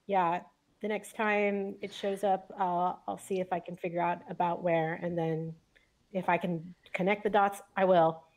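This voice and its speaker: A woman's voice: lowish voice, flat delivery, a little slow, darkish tone. Informal woman improvising in a roleplay game. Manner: informal, loose, swearing. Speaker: roleplay game player, playing a ship's crew member. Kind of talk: casual improvised in-character chat. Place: indoor room at home, on a video call.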